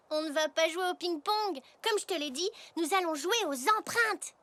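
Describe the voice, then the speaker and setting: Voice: extremely high pitch, unhurried, sharp tone, exaggerated voice. A performer voicing an eager, inventive little boy zebra in a children's cartoon, with a playful, explaining manner. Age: child character. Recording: music behind the voice.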